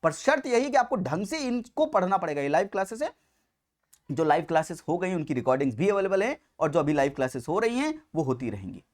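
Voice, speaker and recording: An Indian man delivers an exam-coaching lecture. His intonation swings up and down in a very animated way. He stresses and repeats key points, moving between Hindi and Indian-accented English. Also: fairly high pitch, fast talker.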